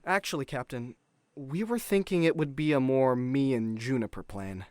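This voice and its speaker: A man's voice: mid-range voice, moderate pace, darkish tone, dry recording, natural voice. Easygoing, candid crewmate, played by a man. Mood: tactful. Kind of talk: audio drama acting.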